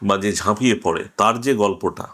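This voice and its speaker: A man with a fairly low voice, speaking as an interviewer. He argues in a conversational, discursive way. His points come out haltingly, built up in short fragments. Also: fairly bright tone.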